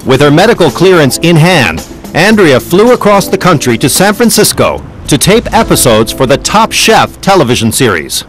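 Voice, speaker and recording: Male documentary narrator, scripted, animated intonation, slightly high voice, faint music. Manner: measured storytelling delivery.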